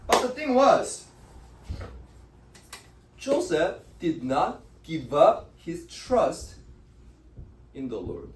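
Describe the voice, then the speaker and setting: A man preaching to a youth group with a higher-than-usual, very animated voice. He moves between casual, filler-laden talk and sudden loud emphases. He sounds set back from the mic in a roomy, echoing church hall with faint ambience. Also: slight background noise. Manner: conversational, with fillers and restarts. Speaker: youth pastor. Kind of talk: sermon. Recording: echoey room from a distance.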